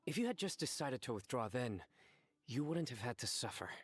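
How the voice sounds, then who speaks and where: An actor playing a stern, stoic young lawman in a video game, blunt and deadpan. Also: mid-range voice, a little fast, husky, no echo, soft background music. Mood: reproachful.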